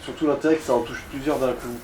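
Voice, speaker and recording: A man's voice: medium pitch, fairly even intonation, fast, distant mic. Male gaming co-commentator, mid-range voice, casual advice, roomy recording with faint noise. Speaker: let's play co-commentator giving advice.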